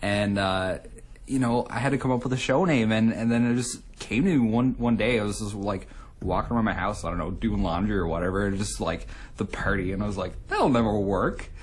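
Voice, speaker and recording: A man's voice: fairly low pitch, fairly quick, slight background noise. A young man, a radio show co-host, chatting casually in an interview in a studio, rambling with lots of fillers. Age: young adult.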